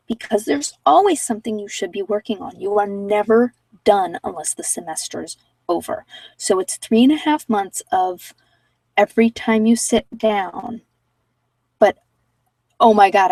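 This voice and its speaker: A woman speaks softly in a casual livestream chat, often dropping toward a whisper, rambling reflectively and trailing off mid-thought. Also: medium pitch, dry recording, recorded from a distance, rough recording. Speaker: ASMR meditation host.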